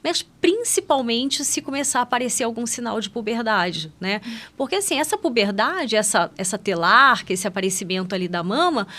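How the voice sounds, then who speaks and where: A woman's voice: fairly high pitch, lively intonation, a little fast, bright, forceful tone. A Brazilian woman speaking as a guest medical expert in a podcast interview, explaining conversationally and often checking for agreement. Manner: explanatory, often seeks agreement with tag questions. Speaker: guest pediatric endocrinologist.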